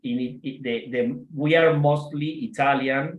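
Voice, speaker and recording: A man's voice: mid-range voice, fairly even intonation, fairly quick, dull audio. A man from Argentina speaking English with an Argentine Spanish accent, chatting casually and searching for words with many fillers and restarts. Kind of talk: casual video call chat. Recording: echoey room.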